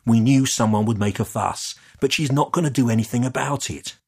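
A man reading an audiobook and voicing a reluctant site foreman who tries to calm his boss down. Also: medium pitch, brisk, gravelly, husky, pitched above the performer's own voice. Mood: placating. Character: placating male site foreman, reluctant and weary.

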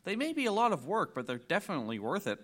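Casting: actor for fond, playful suburban dog dad in an audio comedy, fairly high male voice, reassuring. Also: wildly swooping pitch, quick pace, a bit bright, heavily acted. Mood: affectionate, reassuring. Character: easygoing, affectionate suburban dad and dog owner. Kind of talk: comic audio theater acting.

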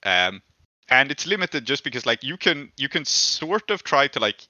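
A man's voice: mid-range voice, sing-song, normal speed, pushed and bright, no room sound, dull audio. A man acting as game master, casually making rulings and narrating a tabletop game.

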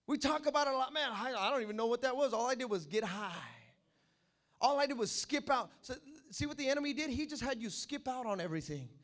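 A man preaching a sermon as pastor, animated, addressing the congregation with call-and-response asides. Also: very high-pitched, quick pace.

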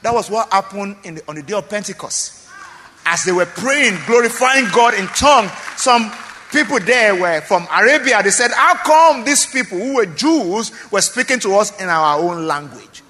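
Pastor, man, high and forceful, emphatic sermon delivery in a reverberant church hall. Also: lively intonation, normal speed. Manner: emphatic preaching with repeated phrases and questions to the congregation.

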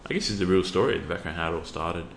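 A man from Western Australia with an Australian accent, talking as an interview guest in a relaxed, laid-back way with plenty of fillers. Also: low-pitched, rapid-fire, vocal fry, slight background noise. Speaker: podcast guest, youth worker. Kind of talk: casual interview talk. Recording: echoey room.

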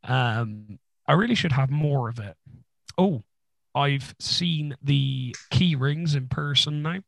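A man co-hosting a podcast, chatting casually with dry jokes, his voice husky after being ill. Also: mid-range voice, takes it slowly, no room sound. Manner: dry joking, rambling. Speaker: podcast co-host. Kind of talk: casual chat.

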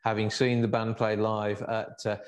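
A man's voice: on the low side, completely flat delivery, normal speed. A man hosting a radio show, chatting with guests and plugging upcoming gigs in an upbeat way. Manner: upbeat, plugs events and phone numbers. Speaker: radio host. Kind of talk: radio chat and promotion.

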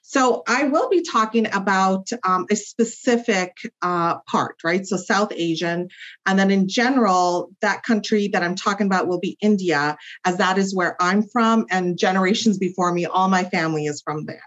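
A woman's voice: mid-range voice, moderate pace, fairly bright tone. A woman clinical psychologist explaining as a podcast guest, with many fillers and tag questions. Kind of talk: podcast interview. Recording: muffled lo-fi audio.

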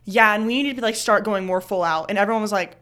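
A woman's voice: medium pitch, fast talker, fairly bright tone. Young woman dance teacher, rambling chat. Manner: rambling, filler-heavy, giggly. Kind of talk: casual chat.

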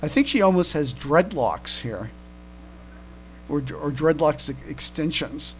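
A man co-hosting a casual fan podcast, speaking haltingly and often repeating his words as he comments. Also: fairly high pitch, expressive. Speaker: podcast co-host. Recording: noisy home recording with hum.

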